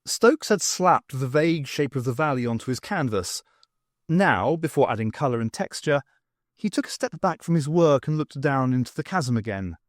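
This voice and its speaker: Male narrator for fiction audiobook: expressive, swooping intonation, very dry close sound. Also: mid-range voice, normal speed, dry recording.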